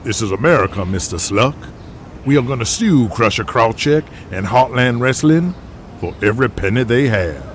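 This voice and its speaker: An actor playing a stern, righteous older lawyer who orates formally and pompously in an audio drama. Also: male voice, mid-range voice, animated, moderate pace, noisy background, natural voice. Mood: stern, indignant.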